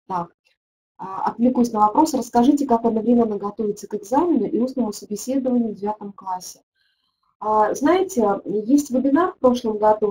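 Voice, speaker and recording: Russian-speaking teacher hosting an online webinar. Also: female voice, fairly high pitch, mellow, a little hiss or hum, low-quality recording. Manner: thinking aloud with frequent "так" and hesitations. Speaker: teaching methodologist leading a webinar. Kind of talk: webinar Q&A, reading viewer questions.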